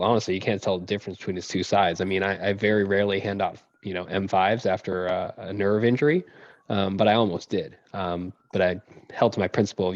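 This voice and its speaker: A man's voice: low voice, no echo, lo-fi sound. A male surgeon co-hosting a podcast, chatting casually and self-deprecatingly with frequent laughs.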